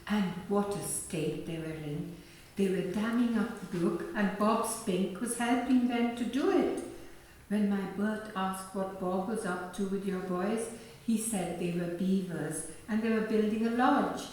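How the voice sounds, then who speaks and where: A woman's voice: medium pitch, unhurried, a little mellow, natural voice. A woman playing a kindly, fussing, chatty married neighbour in a radio play. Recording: echoey room, far from mic.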